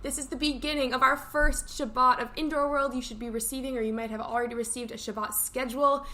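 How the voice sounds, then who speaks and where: A woman's voice: high-pitched, steady tone, fast, sharp tone. A woman hosting a morning show, giving casual announcements with playful, upbeat banter. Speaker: camp morning show host.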